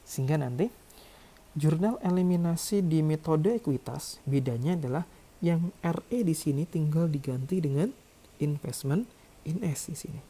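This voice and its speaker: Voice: medium pitch, mellow, breathy edge. An accounting lecturer explaining calculations step by step in a didactic way. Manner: didactic, checks with "ya" and "oke".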